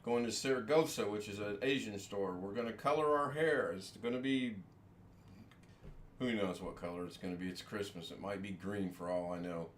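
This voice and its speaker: A man rambles through a casual home livestream, poking fun at himself and chuckling as he goes. His voice sits at an ordinary pitch, and a roomy echo hangs on it with a faint ambient hum behind. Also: moderate pace. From United States. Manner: rambling, self-deprecating, chuckling often. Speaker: livestream host. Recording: echoey room with faint ambience.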